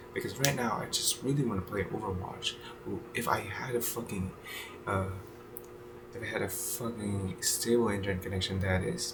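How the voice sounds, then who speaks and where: A man's voice: fairly low pitch, noisy background. Rambling young man, fillers and restarts. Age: 20s. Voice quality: halting, many fillers and restarts, swears casually. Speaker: vlogger. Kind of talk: casual unscripted video journal.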